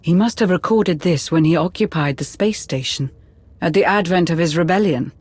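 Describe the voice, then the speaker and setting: A knowledgeable Sith adviser in a video game. She is composed and cautious, with formal, measured delivery. The sound is dry and close. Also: on the low side, a little mellow, breathy edge, no room sound. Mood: analytical, grave. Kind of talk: video game cutscene dialogue.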